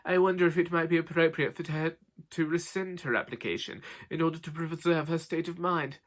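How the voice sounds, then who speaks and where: A man's voice: a little high, steady tone, brisk, a bit bright, voice pushed up, close to an everyday voice. A man voicing a snooty older woman with a condescending, mock-concerned manner in a comic audiobook.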